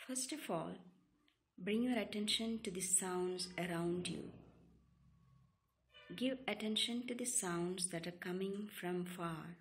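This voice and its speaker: A woman leads a guided relaxation meditation, giving calm, soothing instructions in short, separated phrases. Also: fairly low pitch, takes it slowly, slight background noise. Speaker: yoga nidra meditation guide.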